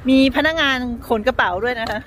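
A woman's voice: fairly high pitch, a little fast, a bit bright. A woman from Thailand, around middle age, chatting casually and politely to viewers as a travel vlogger on a handheld phone. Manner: chatty, addresses viewers with frequent polite particles. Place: airport car park and terminal. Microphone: handheld phone at arm's length. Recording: clearly noisy travel recording with vehicle ambience.

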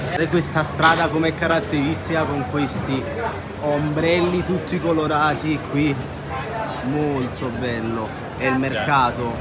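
A man's voice: a little high, little pitch movement, measured pace. A man giving an enthusiastic walking tour of an outdoor street market, filmed on a handheld phone. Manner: enthusiastic, exclaims often. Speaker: travel vlogger. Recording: loud crowd and street noise.

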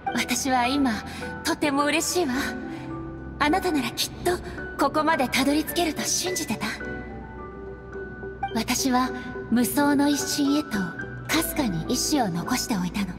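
A kindly, gentle goddess from a video game, high in voice. She talks with affection and a soft feminine grace, with music underneath. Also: expressive, unhurried, long pauses, in a large hall. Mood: tender. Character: gentle, kindly late goddess, loving elder sister. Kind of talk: dramatic game voice acting.

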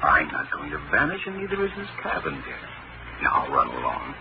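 A reassuring young husband in an old radio drama, brisk and teasing. His voice is very low and swings widely in pitch. The sound is dull and hissy like an aged broadcast. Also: wildly swooping pitch, gravelly, heavily acted. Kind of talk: 1940s radio acting.

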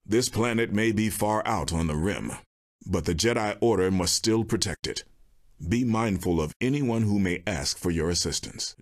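An actor playing a stern, solemn Jedi master in a video game, speaking in a measured, formal and commanding way with a husky voice. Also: male voice, deep voice. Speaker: video game mission giver. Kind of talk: scripted game character dialogue.

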